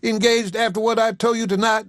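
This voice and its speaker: A man doing a shrill sputtering angry rival. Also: flat delivery, brisk, a bit bright, no echo, studio quality. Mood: outraged. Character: angry, defeated male rival churchman.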